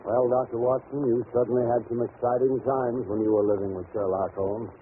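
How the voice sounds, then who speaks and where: A man as a genial old-time radio announcer. His voice is mid-pitched and fairly flat in melody. The sound is narrow and tinny, like a vintage broadcast. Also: brisk, natural voice. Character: genial male announcer interviewing the old doctor. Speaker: host announcer.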